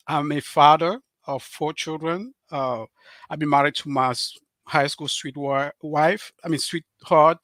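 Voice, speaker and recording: A middle-aged man from Cameroon with a Cameroonian French accent, a guest on a live show talking earnestly and haltingly, with many fillers and restarts. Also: on the high side, fairly bright tone, no echo. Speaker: author and guest.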